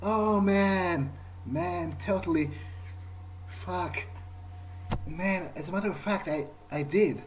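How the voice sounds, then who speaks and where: A man improvising an in-character video game playthrough, speaking haltingly as he thinks aloud. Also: high voice, slight background noise.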